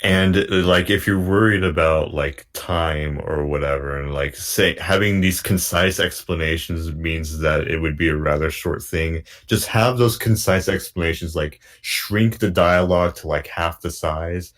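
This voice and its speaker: A man in a casual group critique, thinking aloud in a halting way as a commentator. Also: low voice, a bit bright. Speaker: panel commentator.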